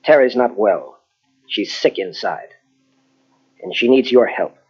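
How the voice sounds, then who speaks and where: An actor playing a grave, earnest psychologist in an old-style radio mystery, husky and deliberate, with dramatic pauses. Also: male voice, fairly low pitch, animated, exaggerated voice. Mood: grave, urgent. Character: earnest, grave male psychologist confronting a killer. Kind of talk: 1940s radio mystery acting. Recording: dull lo-fi old radio tape.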